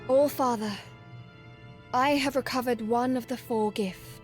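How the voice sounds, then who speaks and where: A woman's voice: fairly high pitch, steady tone, measured pace, soft background music, natural voice. A woman playing a dutiful, resolute young valkyrie in a fantasy video game, formal, composed and earnest.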